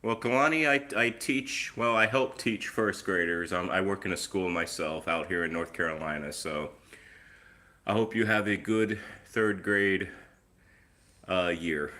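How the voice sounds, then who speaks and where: A man's voice: deep voice, unhurried, sharp tone. A man hosting a casual live stream on his phone, chatty and easygoing, with a lingering cough that leaves his voice a little off. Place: outdoors, then indoors at home.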